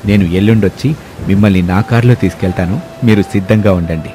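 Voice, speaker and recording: A man's voice: deep voice, fast, mellow, a little hiss or hum, close to an everyday voice. A man playing a polite, generous wealthy businessman who speaks courteously and reassuringly in a story.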